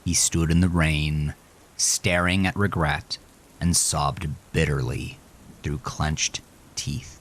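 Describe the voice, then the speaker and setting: A man's voice: extremely low pitch, fairly even intonation, takes it slowly, a bit bright. A man narrating a scripted horror story with intense, dramatic delivery that sinks into whispers.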